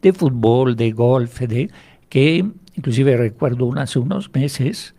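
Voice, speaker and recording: Man, mellow warm tone, explains patiently, poses his own questions, radio guest. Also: medium pitch, animated. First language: French. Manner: explanatory, measured, asks and answers own questions. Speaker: hypnotherapist guest. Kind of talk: radio interview. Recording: radio interview.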